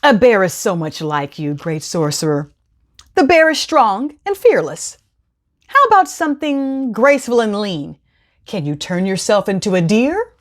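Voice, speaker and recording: A woman voicing a cunning, bold, flattering trickster cat in a theatrical storybook read-aloud for children. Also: mid-range voice, measured pace. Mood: sly flattery.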